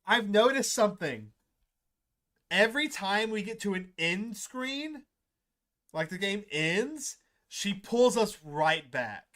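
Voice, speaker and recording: A man hosting a gaming video, reading game dialogue aloud and musing between lines in a halting, casual way. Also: sits high, animated, fairly bright tone. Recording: clear background noise under the voice.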